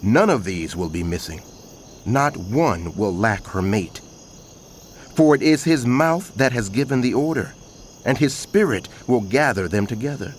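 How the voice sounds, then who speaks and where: A man's voice: mid-range voice, a little hiss or hum. A man narrating dramatized scripture in a solemn, emphatic, declamatory manner.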